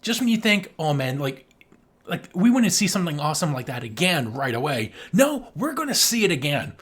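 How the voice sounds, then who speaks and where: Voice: mid-range voice, big pitch swings, a little fast, breathy edge. An enthusiastic podcast co-host in casual film discussion, given to acting out sound effects and swearing casually.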